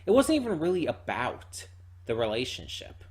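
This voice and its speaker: A man giving unscripted, casual reaction commentary in a rambling, halting way, often repeating words and hedging as he goes. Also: medium pitch, huge pitch swings. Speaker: reaction channel host.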